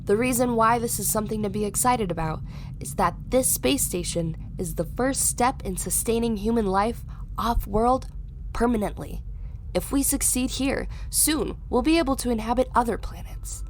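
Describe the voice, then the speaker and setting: A woman's voice: a little high, moderate pace, a little hiss or hum, lightly acted. An actress playing a confident, teasing female scientist in an audio drama, upbeat and assured. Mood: proud, teasing.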